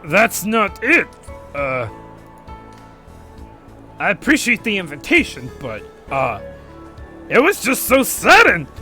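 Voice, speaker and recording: A man voicing a teenage boy in a visual novel with a gravelly, strained, slow and stilted delivery. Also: high-pitched, huge pitch swings, sharp tone, faint music behind, voice pushed up, full character voice. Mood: awkward, surprised. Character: teenage boy hero nicknamed after a robot villain.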